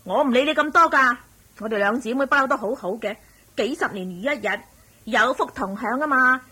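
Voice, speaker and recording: A middle-aged auntie from a radio drama with a Hong Kong Cantonese accent. Her voice is high and piercingly bright. She chatters, exclaims and pushes, brooking no refusal. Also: brisk, slightly rough. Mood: indignant, pushy. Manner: insistent, exclamatory, wheedling.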